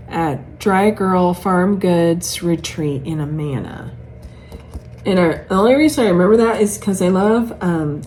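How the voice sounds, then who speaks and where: Low-pitched chatty woman with background noise. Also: darkish tone. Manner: chatty, gushing, thinking aloud. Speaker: cross-stitch vlogger showing patterns. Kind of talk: casual chat to camera. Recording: clearly noisy room ambience.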